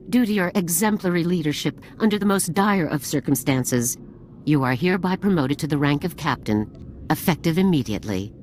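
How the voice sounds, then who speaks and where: A woman's voice: deep voice, a little hiss or hum. A woman playing a stern, formal, authoritative military general in a video game. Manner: formal, commanding, clipped. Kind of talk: scripted video game acting.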